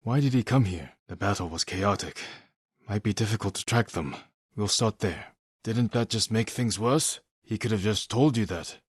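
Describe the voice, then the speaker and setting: A man playing a solemn, resolute samurai warrior in a video game, speaking in a husky, measured, grave way with short phrases. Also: on the low side, no room sound, clean studio sound. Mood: grave, focused. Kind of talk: dramatic video game character dialogue.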